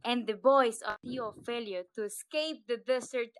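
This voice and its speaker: A woman's voice: a little high, normal speed, noisy background. A woman co-hosting a casual podcast in a playful manner, tossing in little vocal interjections. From US-Mexico border region. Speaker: podcast co-host.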